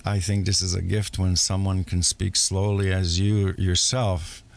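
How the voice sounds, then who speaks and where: A man's voice: sits low, level tone, unhurried, vocal fry. A male radio host interviewing a guest, listening closely and often murmuring agreement. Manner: attentive, frequent murmured agreement.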